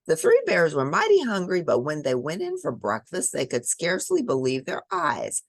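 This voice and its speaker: Very animated, low-pitched woman storyteller. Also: normal speed, dry recording, clean studio sound. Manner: expressive, dramatic emphasis. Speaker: children's storybook reader. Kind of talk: animated read-aloud for children with chatty asides.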